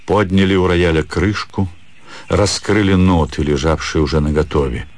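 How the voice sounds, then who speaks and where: A man narrating a literary story for radio in a measured, expressive storytelling manner. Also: low-pitched. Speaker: narrator, actor reading literary prose. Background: music under the voice.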